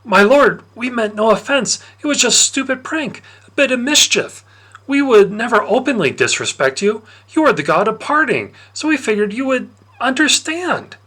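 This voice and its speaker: Man playing a high-pitched, agitated pleading boy. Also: big pitch swings, normal speed, pushed and bright, exaggerated voice. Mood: frightened pleading. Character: frightened, defiant boy pleading with a god.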